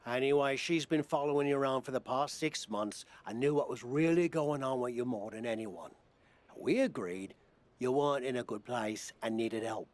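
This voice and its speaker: A man's voice: mid-range voice, normal speed, lightly acted. A man playing a blunt, supportive British wrestling promoter and mentor in a video game cut scene, with a wry manner. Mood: firm, concerned.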